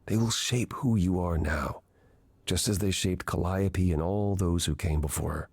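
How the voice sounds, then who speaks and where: An actor playing an ancient, weary god in a video game, speaking as a gentle, patient mentor in a measured, reflective way. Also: male voice, low voice, vocal fry, husky, no room sound, full character voice. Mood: patient, reassuring.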